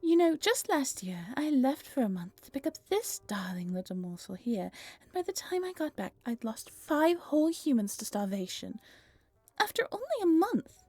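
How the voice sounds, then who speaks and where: A woman's voice: high-pitched, sing-song, moderate pace, darkish tone, no room sound, faint music behind, voice pushed up. A woman playing a genteel, possessive vampire mistress in an audio drama, with a saccharine, theatrical and self-pitying manner. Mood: gushing, airy. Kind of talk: scripted roleplay audio drama.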